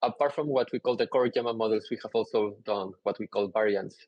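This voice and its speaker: A young man from Peru giving a conference talk over slides on a video call, relaxed and explanatory with many "uh" fillers. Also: fairly low pitch, fast talker, highs cut off. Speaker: conference speaker. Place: indoor room, on a video call.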